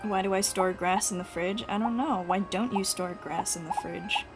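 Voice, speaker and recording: A woman streams a video game with casual, playful commentary. She talks to the game and exclaims at it in a mid-pitched voice. Game music plays clearly behind her. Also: normal speed. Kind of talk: casual gaming commentary, reading game dialogue aloud.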